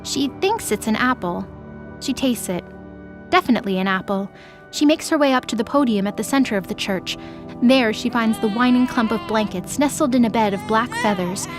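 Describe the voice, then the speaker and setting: A woman narrates a story in a scripted, dramatic audio-drama style. Her voice sits a little high, and faint music plays behind it. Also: animated, normal speed.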